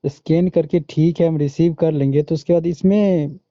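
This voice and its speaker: A man's voice: on the high side, fairly even intonation, moderate pace, dark tone, dry recording. An Indian man on a muffled recorded phone call, chatting informally and pushing back with questions. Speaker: online seller on a phone call. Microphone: phone line.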